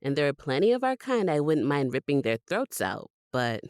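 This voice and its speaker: A wry vampire confidante, loyal but skeptical. Her voice sits low and moves with animated, expressive swings. She is blunt and sardonic, in a dry audio drama recording. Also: moderate pace, smooth, no room sound. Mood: protective, exasperated.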